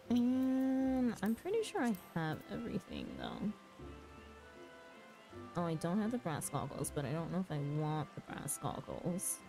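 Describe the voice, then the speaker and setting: A woman with a fairly low, soft-edged and mellow voice hosts a casual game stream. She talks in a chatty, halting way, dropping muttered asides as she goes. Music plays clearly behind her. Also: big pitch swings. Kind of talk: casual live game-stream commentary, reading dialogue aloud. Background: background music.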